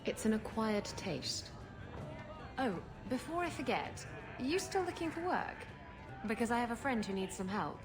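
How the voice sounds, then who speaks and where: An actress playing a brash, flirtatious pirate captain in a video game cutscene, teasing and sardonic. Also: female voice, fairly high pitch, sing-song, normal speed, over music. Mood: playful, teasing.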